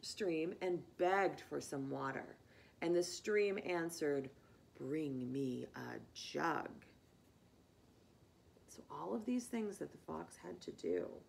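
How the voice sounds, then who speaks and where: A woman reads a children's story aloud like a teacher with her class. Her voice is fairly low with a creaky edge, and she moves slowly and expressively. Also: a little hiss or hum. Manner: expressive, deliberate.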